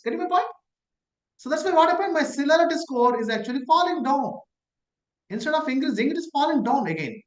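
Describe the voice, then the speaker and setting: An instructor with an Indian English accent, giving an online lecture on a video call from an office room. Keeps asking rhetorical questions and repeating the main points. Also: sits high. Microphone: laptop on a video call. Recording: echoey room.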